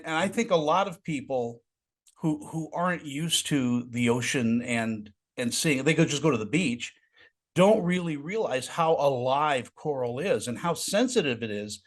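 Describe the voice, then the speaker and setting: A man hosting an interview, talking in an excitable, halting way with lots of restarts. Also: a little high. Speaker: interview host. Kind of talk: casual interview chat.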